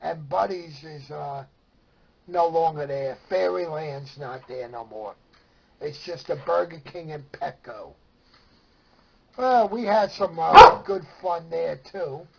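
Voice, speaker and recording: A middle-aged man with a Brooklyn New York accent and a husky, gravelly voice, casually reminiscing in a plainspoken, meandering way. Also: on the high side, takes it slowly, lo-fi sound. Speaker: storyteller sharing memories of CB radio.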